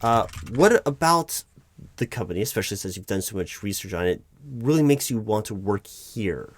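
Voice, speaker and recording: A man playing a flustered, deferential recruiting manager in an interview roleplay, halting, stammering and awkwardly polite as he talks. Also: lowish voice, lively intonation, no room sound. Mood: awkwardly formal. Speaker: roleplay performer. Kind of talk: spoken audio roleplay, one-sided job interview.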